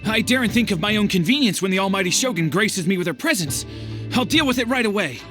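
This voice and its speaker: An actor playing a nervous, eager, deferential retainer in a video game, stammering with servile politeness. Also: male voice, high voice, expressive, quick pace, over music. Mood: anxious, servile.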